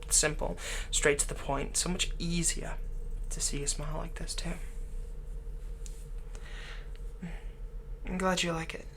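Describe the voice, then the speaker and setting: A man playing a doting, slyly manipulative villain captor in an intimate ASMR roleplay, speaking gently and coaxingly with unhurried pauses. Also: fairly high pitch, fairly even intonation, slight background noise. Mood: tender, flattering.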